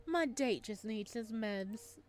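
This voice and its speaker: A woman's voice: on the high side, lively intonation, slow pace, darkish tone. A sneering, possessive man from a horror visual novel, as a woman reader puts him on. He drawls with mockery and menace, hostile to anyone in his way. Faint music runs underneath. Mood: dismissive, mocking.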